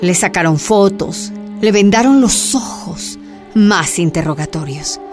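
A woman's voice: fairly low pitch, animated, unhurried. A woman delivering dramatic scripted narration for a radio drama. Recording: music bed behind the voice.

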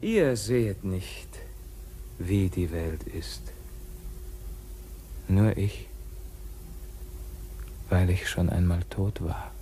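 An actor in a German radio play, playing a feverish, haunted dying king with slow, declamatory delivery. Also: sits low, mellow, slight background noise. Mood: weary, haunted. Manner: slow, declamatory, swinging from cries to faint murmurs.

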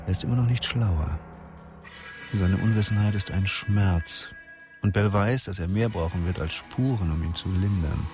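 A man narrating a crime radio drama in a measured, literary way. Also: low-pitched, over music.

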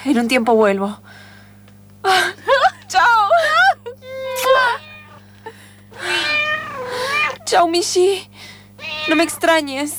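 Woman acting a high-voiced, dramatic young Argentine traveller. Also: heavily acted. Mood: excited. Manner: emotional, hesitant, plaintive. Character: dreamy, naive, dramatic young woman cyclist setting off travelling. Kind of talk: improvised radio theatre.

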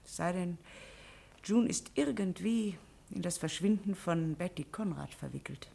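An actress playing a worried middle-aged boarding school headmistress in a 1950s radio drama, who talks in a hesitant, apologetic way. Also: female voice, lowish voice, unhurried, vocal fry, dark tone, husky, heavily acted.

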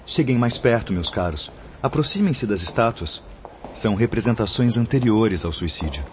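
An actor with a Brazilian accent playing a wise, solemn spiritual instructor in a radio drama, speaking in measured, declamatory phrases. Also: male voice, lowish voice, lively intonation, slight background noise, close to an everyday voice. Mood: gentle, instructive. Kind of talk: radio drama acting, didactic oration. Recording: dull lo-fi audio under music.

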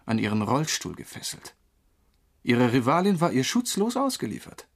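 An actor playing an earnest, knowing lover in a German radio crime drama, with a husky voice and an intent, confiding manner. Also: male voice, mid-range voice, sing-song, normal speed. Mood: intense. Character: tall dark-haired male lover, earnest, knowing, quietly flirtatious.

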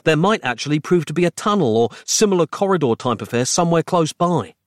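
A man's voice: high voice, big pitch swings, a little fast, no echo, studio quality, pitched above the performer's own voice. A man acting an anguished, exasperated male hero in a comic horror tale, wordy and melodramatic. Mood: wordy, urgent. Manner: melodramatic, over-explaining, urgent.

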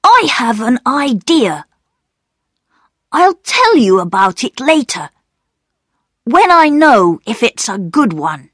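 A woman's voice: on the high side, very animated, slow, long pauses, no room sound, clean studio sound, pitched above the performer's own voice, heavily acted. A woman voicing an earnest, defiant young boy in a storybook, indignant and pleading. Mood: eager. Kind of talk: storybook character voice.

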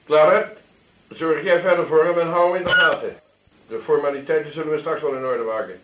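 A man's voice: fairly high pitch, fairly even intonation, brisk, background noise, lightly acted. An actor playing a middle-aged police sergeant in a radio drama, matter-of-fact and deferential to his superior. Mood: brisk, commanding. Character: helpful, deferential male police sergeant with grown daughter. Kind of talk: Dutch radio drama acting.

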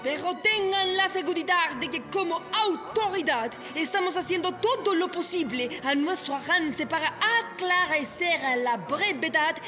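Woman doing a pompous chilean town official, really high voice, flustered speechifying, radio play with music behind. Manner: formal, oratorical. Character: flustered, pompous town authority calling for order.

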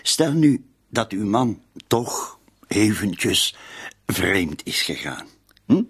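An actor playing a patient, gently insistent police inspector in a radio drama, questioning tactfully with hesitant, repeated interjections. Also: male voice, fairly low pitch, very animated, drawn-out words, breathy edge, heavily acted.

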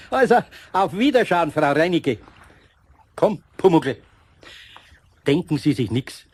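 An actor playing a gruff but kindly older craftsman in a radio play, with a husky voice and a folksy, scolding Bavarian way of talking. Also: a little high, very animated, takes it slowly, exaggerated voice. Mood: amused, kindly.

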